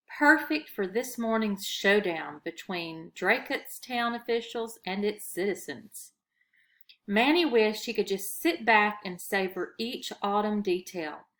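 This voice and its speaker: A woman narrating a children's story aloud in an expressive, read-aloud storyteller's style. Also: medium pitch.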